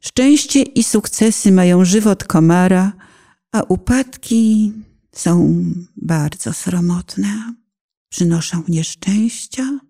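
A middle-aged woman giving a solemn, dramatic recitation of a poetic prayer in a penitent, emotive and measured manner. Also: fairly low pitch, dark tone. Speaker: narrator reciting own poetic testimony.

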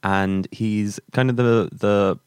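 A man's voice: fairly low pitch, steady tone, slow pace, smooth, no room sound, clean studio sound. An Irish man hosting a podcast in a casual, rambling way, hesitating and correcting himself as he goes. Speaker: podcast host. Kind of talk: casual interview podcast chat.

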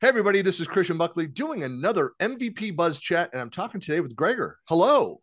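A man's voice: high-pitched, sing-song, fast talker, over music. A man hosting an interview podcast, talking casually and rambling a little. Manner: rambling, many fillers and restarts. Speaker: podcast host.

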